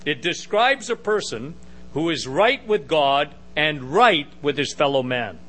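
A middle-aged man with a big booming voice preaching a sermon, emphatic with conversational asides. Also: expressive, a little slow, fairly bright tone, a little hiss or hum.

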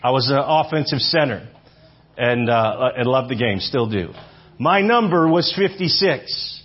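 A man's voice: medium pitch, lively intonation, measured pace, slightly rough. A man in his fifties preaching to a church audience, talking conversationally and asking the room questions. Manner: conversational, repeats phrases, asks the audience questions. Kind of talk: sermon. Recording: dull lo-fi sermon audio.

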